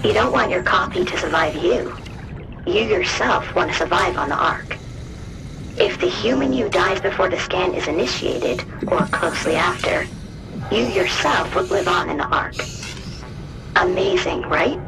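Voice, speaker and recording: Earnest technician with creaky voice. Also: female voice, on the low side, expressive, moderate pace, rough recording. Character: trusting, earnest female technician, eager and relieved. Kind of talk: video game voice acting. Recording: music and ambience behind voice.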